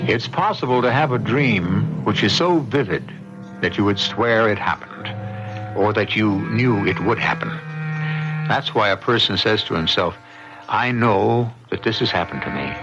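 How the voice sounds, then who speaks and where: Low-voiced man hosting a radio mystery, over music. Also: gravelly. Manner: measured, portentous. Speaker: mystery show host and narrator. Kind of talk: dramatic scripted radio narration. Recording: dull old radio recording with music.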